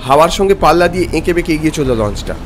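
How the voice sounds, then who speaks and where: A man's voice: on the high side, lively intonation, racing, a little hiss or hum. A man narrating a scripted horror story in a theatrical, emotive manner. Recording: loud music bed behind. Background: background music.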